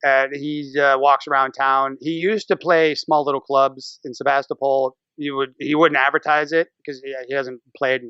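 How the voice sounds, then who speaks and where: An American man talks casually as a podcast guest, irreverent and animated. His voice is bright and clear. The audio is close and dry, though a little dull. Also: medium pitch, normal speed, hardly any pauses, no echo. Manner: animated, irreverent, swears, acts out little quotes. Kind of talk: casual interview chat.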